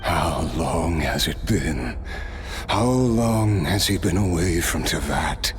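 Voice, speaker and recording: A man's voice: low-pitched, lively intonation, music in the background. An actor playing a weary old immortal swordsman in a video game, throaty, reflecting formally on the past. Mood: courteous, taken aback. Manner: measured, formal, reflective storytelling. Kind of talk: dramatic video game voice acting.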